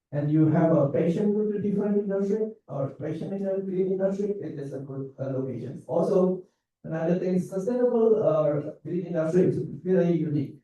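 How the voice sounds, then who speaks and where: A man from Indonesia speaking on a panel, pausing and restarting phrases often in loose non-native grammar. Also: a little high, warm tone, muffled recording. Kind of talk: panel discussion. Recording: echoey hall, mic at a distance.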